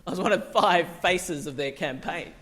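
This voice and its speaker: A man's voice: high voice, normal speed, a bit bright. A middle-aged man from Bangladesh, a psychiatrist, giving a loose, conversational public talk full of fillers and restarts.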